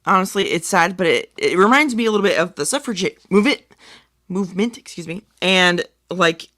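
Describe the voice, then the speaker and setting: Bright-voiced woman chatting on a podcast. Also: fairly low pitch, animated, fairly quick, dry recording, studio quality. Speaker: podcast co-host. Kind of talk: casual review chat.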